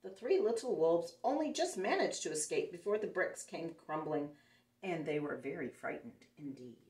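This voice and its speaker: A woman's voice: sits low, lively intonation, moderate pace, a little mellow. A woman reading a picture book aloud to children in a playful, sing-song way, recorded indoors. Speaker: children's story reader. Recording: echoey room, mic some distance away.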